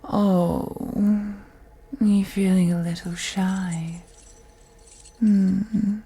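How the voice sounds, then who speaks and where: A woman voicing a wealthy, possessive vampire in an audio roleplay, breathy and often whispering, with a teasing, haughty and condescending manner. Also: medium pitch, fairly even intonation, extremely slow, mellow, heavily acted. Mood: teasing, probing. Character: wealthy, seductive, possessive female vampire toying with captive. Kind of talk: scripted audio roleplay monologue.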